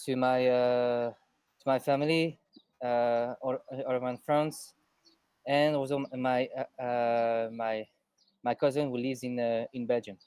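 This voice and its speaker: A man from Switzerland, a guest on a radio show, speaks hesitantly, pausing and repeating words as he searches for what to say. His voice is smooth and resonant, recorded dry and close. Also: mid-range voice, little pitch movement, a little mellow, no echo.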